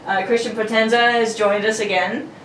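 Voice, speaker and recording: A woman's voice: medium pitch, fairly even intonation, moderate pace, fairly bright tone. A woman hosting and announcing a script with playful, jokey delivery. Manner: playful, giggly asides. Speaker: host and announcer. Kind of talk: jokey introduction and old-radio script reading. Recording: roomy, distant mic with faint noise.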